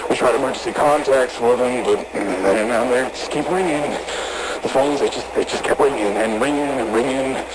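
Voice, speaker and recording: A man playing a frightened, worn-down construction worker in found-footage horror, talking haltingly and swearing over a radio. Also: mid-range voice. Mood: shaken, bewildered. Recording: very noisy radio transmission.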